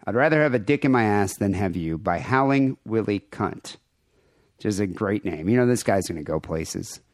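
A man's voice: fairly low pitch, animated, moderate pace, dry recording, studio quality. A man co-hosting a podcast, rambling casually with crude, irreverent jokes and show plugs. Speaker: podcast co-host.

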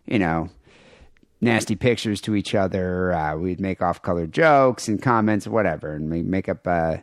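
A man with a loud, piercing, nasal voice, arguing and rambling in a casual podcast chat. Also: medium pitch, no room sound, clean studio sound. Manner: argumentative, rambling with many restarts. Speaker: podcast co-host.

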